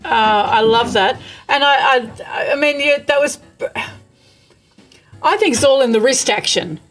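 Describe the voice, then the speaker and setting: Woman, interview host, fairly high voice, encouraging, casual chat, faint music behind. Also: expressive, unhurried, a bit bright. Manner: encouraging, appreciative.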